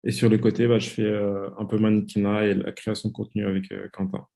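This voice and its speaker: Gravelly, flat-voiced young man. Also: on the low side, fast talker. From Democratic Republic of the Congo. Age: 20s. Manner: hesitant, many fillers. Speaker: podcast guest. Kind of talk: casual interview talk.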